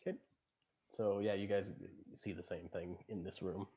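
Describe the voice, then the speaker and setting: A man narrates a tabletop game as its game master. His voice sits low and he explains things haltingly, making it up as he goes. The sound is dull and lo-fi. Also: little pitch movement, warm tone, breathy edge.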